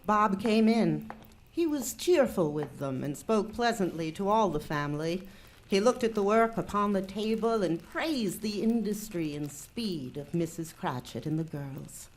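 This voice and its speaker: A woman's voice: medium pitch, animated, measured pace, faint music behind, poor audio. A woman narrating a live radio drama in a solemn storytelling style.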